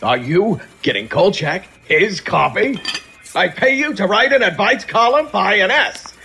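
A blustering, exasperated middle-aged newspaper editor, as a man acts him out, barking orders at his staff in a radio play. The voice sits high and swings widely, with a bright, forceful edge. Faint music runs underneath. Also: lively intonation, measured pace, vocal fry, a little hiss or hum, full character voice. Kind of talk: old-time radio drama acting.

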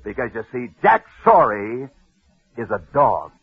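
A man hosting a radio sports newsreel, telling stories with dramatic pauses and punchy emphasis. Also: medium pitch, big pitch swings, slightly rough. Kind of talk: 1940s radio sports storytelling. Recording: tinny old radio broadcast.

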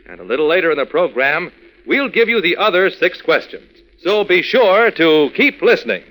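Peppy, animated vintage radio announcer man. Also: a little high, normal speed, poor audio. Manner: peppy, instructive, chummy. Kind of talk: 1940s radio scripted address to children.

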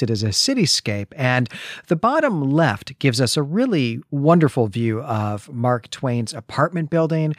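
A man's voice: mid-range voice, dry recording. Wry male podcast host, dry sound. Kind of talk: casual discussion of a comic.

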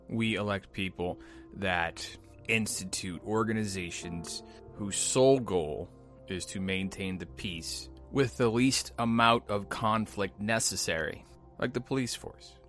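Brief: man, very low voice, measured scripted narration over faint music. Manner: earnest, measured delivery. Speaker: show narrator. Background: soft music.

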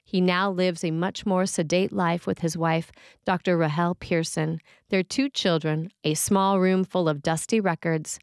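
A woman reading a scripted sermon in a measured, deliberate way. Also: fairly low pitch, steady tone, clean tone, no room sound, studio quality. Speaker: preacher reading a written sermon for a podcast.

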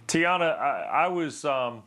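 Man co-hosting, halting start. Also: on the high side, sing-song, fairly bright tone. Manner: halting starts, repeats words. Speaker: sports broadcaster, co-host. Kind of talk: interview question.

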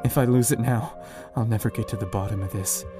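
Over prominent music, a man performs a grim apocalypse survivor with a lowish voice. He is anguished and profane, a haunted brother in a horror audio drama. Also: fairly quick, close to an everyday voice. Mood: grieving, angry. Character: panicked, grieving young man, survivor of a zombie apocalypse.

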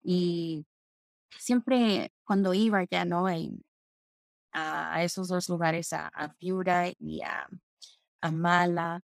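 Low-voiced woman from Peru, relaxed. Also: slow, creaky, no echo, clean studio sound. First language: Spanish. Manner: relaxed storytelling, mixing in English phrases. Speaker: guest. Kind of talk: conversational interview.

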